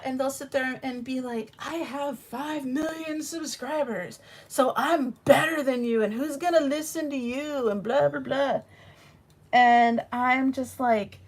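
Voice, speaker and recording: A woman with a high voice talks to her livestream viewers in a loose, frank ramble, often restarting her sentences. Her voice is hoarse and a little raspy from allergies. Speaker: tarot channel host. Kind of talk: casual livestream chat.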